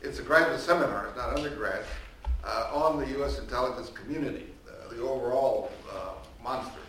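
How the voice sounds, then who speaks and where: A man's voice: mid-range voice, fairly quick, slight background noise. An older man, a professor speaking on a panel without a microphone, projecting a big voice and restarting his sentences often. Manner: loud projecting voice with many restarts and fillers. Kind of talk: unmiked panel talk, conversational lecturing. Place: large echoey hall, speaking without microphone. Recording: roomy echo, distant from the mic, faint ambience.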